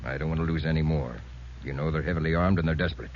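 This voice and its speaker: An actor playing a commanding police captain giving a measured, methodical briefing, in 1950s radio drama style, on a dull lo-fi old radio broadcast. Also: male voice, very deep voice, steady tone, slight background noise. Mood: grim.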